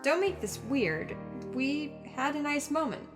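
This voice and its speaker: Sassy young rebel agent, kinda high voice, takes her time, sardonic audio drama stuff. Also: lively intonation, unhurried, music in the background. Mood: teasing. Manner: teasing, sardonic. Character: cocky, teasing, rebellious young woman secret agent.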